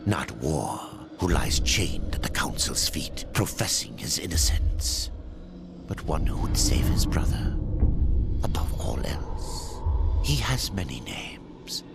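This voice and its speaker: An actor playing a solemn narrator of a dark fantasy video game, speaking gravely and deliberately with breathy, hushed phrase endings. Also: male voice, extremely low pitch, heavily acted. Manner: grave, deliberate, portentous delivery. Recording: loud music under the voice.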